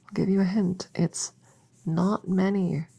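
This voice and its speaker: A woman playing a friendly, goofy witch vet in a soft ASMR roleplay, gently chatty and reassuring with halting, teasing delivery. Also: fairly low pitch, steady tone, exaggerated voice. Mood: casual, explaining. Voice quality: soft, breathy, often whispering, with creak.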